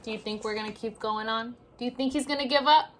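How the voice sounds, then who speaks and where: A woman teacher doing an expressive children's read-aloud, speaking directly to her young listeners in an animated way. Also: on the high side, fast, noisy background. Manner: animated, addresses children directly with questions and asides. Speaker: teacher reading a story aloud to young pupils.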